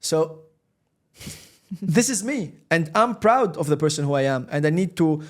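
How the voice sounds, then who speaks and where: Earnest man, slightly higher voice. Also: animated, unhurried. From Tunisia. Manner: earnest, repeats words, warm passion for his roots. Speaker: podcast guest, recruiter. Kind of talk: casual interview talk.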